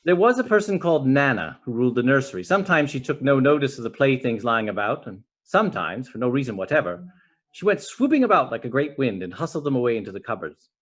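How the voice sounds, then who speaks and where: A man reading a children's story aloud as an expressive narrator. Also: mid-range voice, fast. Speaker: storybook reader.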